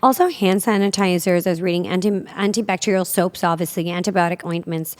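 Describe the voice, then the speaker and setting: Woman in her 40s from pakistan hosting a podcast, lowish voice, rambly and chatty, lots of "like". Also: fairly quick, a little mellow. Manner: chatty, loose, lots of fillers and "like". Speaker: podcast host. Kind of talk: casual interview chat.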